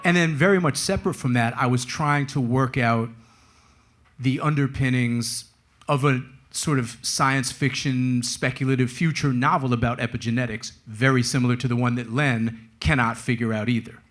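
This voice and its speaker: A man's voice: medium pitch, fairly even intonation, normal speed, a bit bright. A man speaking as a guest author at a live talk, telling stories in a wry, casual, slangy way. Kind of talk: conversational storytelling at a live book talk.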